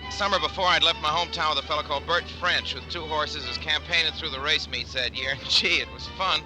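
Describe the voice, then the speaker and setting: An actor playing a naive young American horse-racing hand, reminiscing in folksy 1940s radio drama style. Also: male voice, on the high side, steady tone, brisk, close to an everyday voice, low-quality recording. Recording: old radio broadcast with music.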